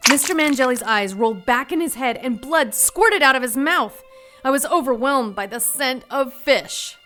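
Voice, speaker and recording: Casting: excitable, fearful young heroine, high swooping voice, radio drama, music behind. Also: female voice, normal speed. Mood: horrified. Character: frightened, excitable young woman in 1940s mystery. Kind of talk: dramatic radio narration and acting.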